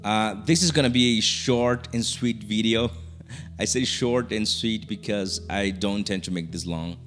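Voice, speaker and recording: A young man from Brazil with a Brazilian Portuguese accent, speaking English as a second language. He talks casually to camera and often restarts his sentences. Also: on the low side. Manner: halting, many restarts and fillers. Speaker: YouTuber. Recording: soft music underneath.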